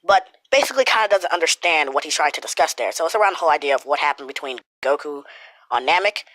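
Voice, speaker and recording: A man's voice: a little high, expressive, quick pace, pushed and bright, no echo. A man with a cold and a stuffy nose, rambling through a casual commentary with lots of swearing and filler words. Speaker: YouTuber commentator.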